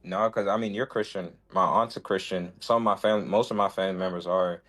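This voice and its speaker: Male, lowish pitch, informal debate participant, defensive, halting delivery. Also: fairly even intonation, fairly bright tone. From West Africa, Sierra Leone and Senegal background.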